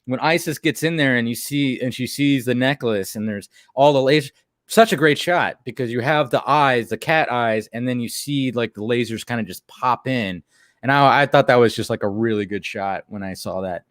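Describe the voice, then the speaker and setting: Male co-host, casual rambling commentary, mid pitch, a little rough and deep today. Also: normal speed, fairly bright tone, dry recording, clean studio sound. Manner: rambling, laughs often. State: voice a little deeper than usual after a late night. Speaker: co-host of a watch-along stream.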